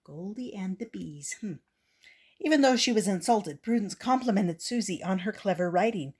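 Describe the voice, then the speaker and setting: A woman's voice: mid-range voice, expressive, normal speed, darkish tone, breathy edge. A woman, a grandmother, reading a children's picture book aloud expressively and talking to young listeners. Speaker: storytelling grandmother.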